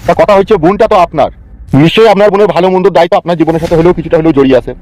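A man's voice: on the high side, rapid-fire, a little mellow, no room sound, lightly acted, low-quality recording. A man voicing a hot-headed, foul-mouthed village uncle in a comedy cartoon, with a blustering manner and crude slang. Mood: persuasive.

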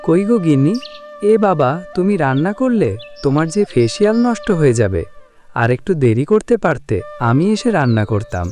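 A man's voice: fairly high pitch, very animated, moderate pace, dark tone, faint music behind. A man playing a poor, henpecked village husband in a cartoon, speaking in a meek, plaintive, long-suffering grumble. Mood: placating. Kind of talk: cartoon dialogue.

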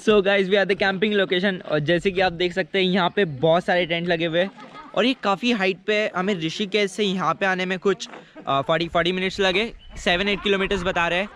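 Young male travel vlogger, eager and casual, outdoors with a handheld camera, light higher voice. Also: steady tone, brisk, a bit bright. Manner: chatty and enthusiastic, addresses viewers as guys. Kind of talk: casual travel vlog narration. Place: outdoors at a hillside campsite. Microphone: handheld camera at arm's length.

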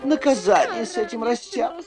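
A woman reading an expressive scripted voice-over for a children's cartoon, on an old film soundtrack. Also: a little high, fairly quick. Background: clear background music.

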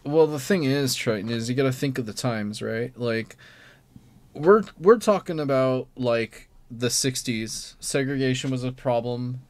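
A man co-hosting a casual panel podcast over an online call, explaining things in a halting, rambling way. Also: mid-range voice. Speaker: podcast co-host.

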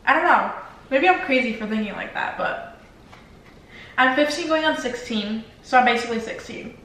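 A woman's voice: on the high side, normal speed, pushed and bright. A teenage girl with Spanish as her first language, chatting casually on a couch and rambling with lots of "like" fillers. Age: teens. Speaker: vlogger. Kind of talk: casual Q&A chat while eating. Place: living room, seated on a leather couch. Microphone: camera across the room. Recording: very echoey room, voice from a distance.

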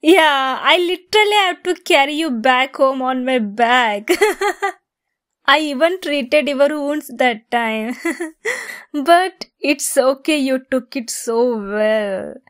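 A woman's voice: sits high, slow pace, a bit bright, dry recording, clean studio sound. A woman acting a giggly, teasing young woman fond of a longtime friend, in a romantic ASMR roleplay.

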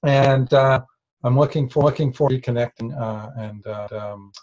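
A middle-aged man introducing himself on a video call, halting and often restarting. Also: medium pitch, darkish tone. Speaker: course participant. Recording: dull lo-fi video-call audio.

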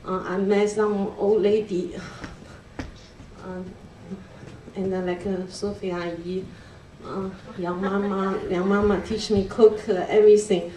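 A middle-aged woman, a native Chinese speaker with a Chinese accent, gives a nervous, halting testimony in English with many fillers and restarts. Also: mid-range voice, little pitch movement, dark tone, slight background noise. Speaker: church member giving a testimony. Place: large church hall indoors. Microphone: handheld mic, picked up from a distance. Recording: echoey hall recorded from far off.

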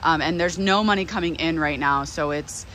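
A woman's voice: low-pitched, normal speed. A woman in her thirties giving a casual, enthusiastic vlog tour on a handheld mic in a greenhouse with running fans. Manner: chatty, enthusiastic. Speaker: plant nursery owner and vlog host. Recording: noisy fans, handheld camera audio. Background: steady fan noise.

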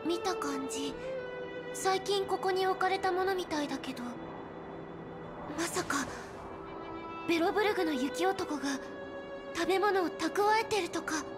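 A woman voicing a calm, low-key young female explorer in a Japanese anime game, plain and matter-of-fact in manner. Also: unhurried, pauses often. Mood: calm, curious. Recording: clean studio voice over game music.

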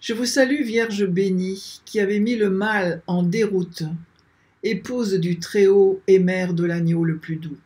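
An older man leading a slow prayer, reciting repeated invocations in a steady, measured chant, filmed by a webcam in a small room. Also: high voice. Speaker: prayer leader.

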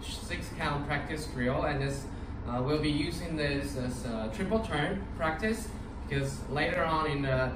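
Young guy from taiwan teaching a dance class, upbeat and chatty, roomy studio, mic far off, noisy. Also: mid-range voice. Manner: chatty, upbeat, with fillers and restarts. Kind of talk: casual dance class instruction. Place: large empty dance studio. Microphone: far camera.

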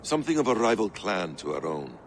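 Deep-voiced shrewd assassin mentor. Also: male voice, very animated, slight background noise. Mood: assured. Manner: knowing, deliberate. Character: shrewd, calm foreign assassin mentor, middle-aged. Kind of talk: cinematic video game drama.

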